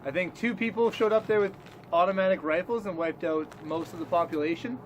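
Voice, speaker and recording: A man with a slightly high voice hosts a loose comedy news show. He talks in a mock-serious, profane way. Faint background noise sits behind him in an amateur recording. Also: a little fast, rough recording.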